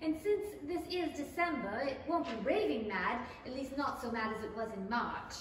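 A woman's voice: high-pitched, animated, moderate pace. A woman playing a polite, earnest, easily startled young girl in a theatrical radio play style. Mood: musing. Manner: exclaiming, theatrical, prim. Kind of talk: 1930s radio play acting. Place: small indoor theatre stage, camera at a distance. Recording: very echoey hall from far off, noisy.

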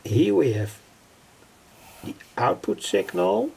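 An older man giving an unscripted technical tutorial in a halting, repetitive way, piecing phrases together. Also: high voice, big pitch swings, a little mellow, poor audio. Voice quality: husky, gravelly. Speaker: electronics hobbyist presenter. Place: indoors at a worktable.

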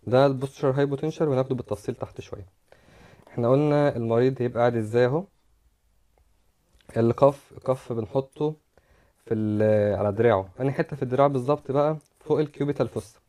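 Fast-talking Egyptian man, mellow tone. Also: mid-range voice. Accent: Egyptian Arabic. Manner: explains step by step, repeats words while demonstrating. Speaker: medical lecturer. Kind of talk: recorded lecture explaining slides.

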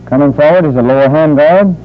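Man, narrow tinny old film audio, fairly high voice, military instructor, measured formal teaching narration. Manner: formal, measured, deliberate phrasing. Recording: muffled phone-like old training film soundtrack.

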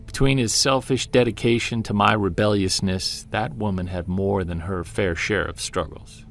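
A middle-aged, brooding Bigfoot investigator, played by a man in an audio drama. His voice sits fairly low and he talks in a measured way, with prominent music underneath. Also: creaky, a bit bright. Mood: bitter, regretful. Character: brooding male Bigfoot investigator, bitter and obsessive. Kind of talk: audio drama monologue and dialogue, confessional recorded journal.

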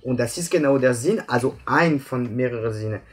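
A man from France talks through a technical topic in accented German, pausing on filler sounds as he searches for words. His voice has a husky edge at a middling pitch, and soft music plays faintly behind the conversation. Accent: French. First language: French. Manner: hesitant, many "äh" fillers, explaining step by step. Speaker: guest expert. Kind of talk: explanatory interview chat. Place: bright office room with plants.

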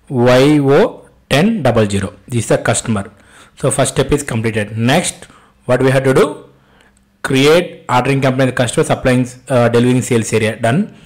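A man with an Indian accent and a mid-pitched voice walks learners through software as an instructor. He talks off the cuff, step by step, often repeating phrases and asking himself short questions before answering them. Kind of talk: step-by-step screen-share tutorial, spoken off the cuff.

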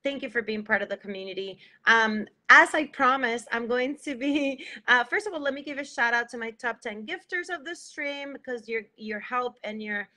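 A woman's voice: fairly high pitch, normal speed, hardly any pauses, bright, forceful tone. A woman in her thirties hosting a livestream show, gushing with thanks in casual chat from a phone camera at home. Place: indoors at home, plain wall.

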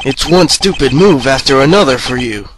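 A man's voice: medium pitch, animated, moderate pace, over music, lightly acted. Man acting a determined, accusing young lawyer. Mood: pressing. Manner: pressing, righteous, confrontational. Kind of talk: video game fan dub acting.

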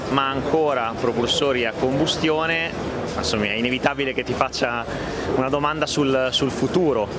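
A man, a motoring journalist, asking measured interview questions at a busy motor show. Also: medium pitch, heavy background noise.

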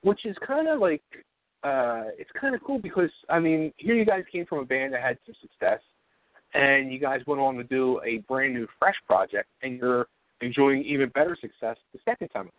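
Lively, casual male radio host. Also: mid-range voice, moderate pace, no echo. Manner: casual, laughs often, filler-heavy. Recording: dry, phone-like sound.

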